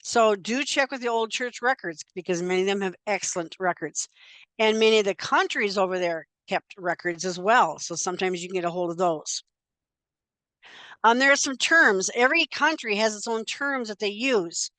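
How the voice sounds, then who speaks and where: Older woman presenting, smooth voice. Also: medium pitch, normal speed, pushed and bright, no room sound. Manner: conversational and chatty, with frequent fillers. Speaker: genealogy presenter. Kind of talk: informal slide presentation.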